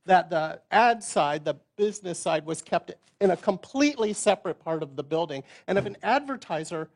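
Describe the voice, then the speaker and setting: A loud-voiced man, a veteran journalist, speaking in a reflective, storytelling way on a panel. His voice sits a little high for a man. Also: animated, moderate pace. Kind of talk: panel discussion.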